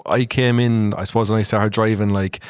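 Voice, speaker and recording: A man's voice: on the low side, flat delivery, fairly quick, smooth, no echo. A man in his late twenties with a Munster Irish accent, a guest chatting in an easygoing, hesitant way with ums and chuckles. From Ireland, where Cork, Limerick and Tipperary meet. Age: 20s. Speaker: guest, farmer. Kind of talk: casual chat, storytelling.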